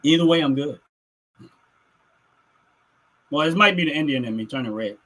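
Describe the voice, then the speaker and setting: A man's voice: a little high, expressive, rapid-fire, a bit bright. A middle-aged man hosting a livestream, talking casually and with strong opinions, emphatic and repeating phrases to drive them home. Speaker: livestream host. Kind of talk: casual opinionated livestream talk, responding to chat.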